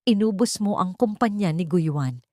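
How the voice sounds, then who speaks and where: Woman voicing an indignant, aristocratic old mother-in-law, pitched up. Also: animated, normal speed, dry recording, studio quality, natural voice. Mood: accusing. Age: older. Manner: accusing, indignant shouting.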